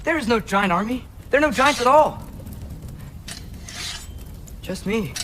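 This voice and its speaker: A man's voice: fairly high pitch, animated, normal speed, slight background noise, close to an everyday voice. A young man playing an earnest, stubborn teenage boy hero in a video game, youthful and a little hesitant. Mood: earnest, pleading. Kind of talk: naturalistic video game acting. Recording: music under the voice.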